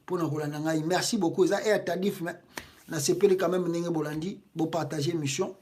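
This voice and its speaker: A woman's voice: fairly low pitch, fairly even intonation, brisk, mellow. A woman from Congo with a Congolese French accent, giving impassioned political commentary in a video, indignant and full of rhetorical questions. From Congo (DRC).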